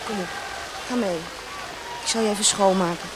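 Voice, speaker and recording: A woman's voice: mid-range voice, fairly even intonation, normal speed, lightly acted. A woman playing a spirited, caring young teenage girl in a radio drama, speaking in an urgent girlish way. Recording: noisy ambient sound effects behind.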